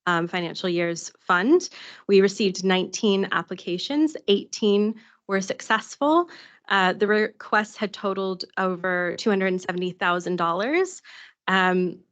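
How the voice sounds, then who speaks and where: A woman's voice: lowish voice, fairly bright tone, lo-fi sound. A woman with a New Zealand accent presenting a report to a council committee, reading out figures with many ums and uhs. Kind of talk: meeting presentation.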